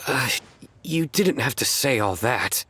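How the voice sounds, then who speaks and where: An actor playing a stern, earnest young card-game fanatic in a video game, formal, deadpan and self-serious. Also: male voice, medium pitch, takes it slowly, faint music behind. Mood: taken aback. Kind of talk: video game character dialogue.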